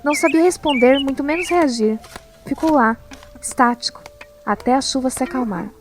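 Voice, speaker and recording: A woman's voice: sits high, moderate pace, slight background noise. A woman with a Brazilian accent narrating a scripted audio drama as storyteller. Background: music.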